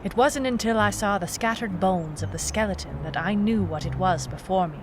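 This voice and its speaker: A woman's voice: mid-range voice, moderate pace, noisy background, natural voice. A woman playing a wry, defiant noir private eye in an audio drama, in narration and dialogue. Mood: brooding narration. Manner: hardboiled, wry. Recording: music behind the voice.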